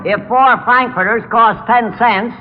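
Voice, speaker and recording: A man's voice: very high-pitched, steady tone, measured pace, soft background music. A man acting as quizmaster in a 1940s radio comedy quiz. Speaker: comic quizmaster. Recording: old dull radio recording in a hall.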